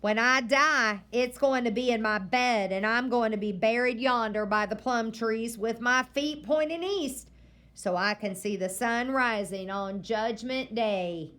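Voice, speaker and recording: Stubborn old frontier granny, blunt and folksy, loud and bossy, a lady reading her out. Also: fairly high pitch, a little slow. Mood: stern. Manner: blunt rural folksy speech. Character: stern old pioneer grandmother, distrustful and stubborn.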